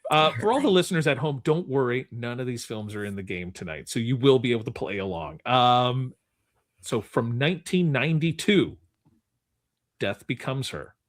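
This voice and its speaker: Male podcast host, casual game-show chat, very lively intonation, mid-range pitch. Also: moderate pace.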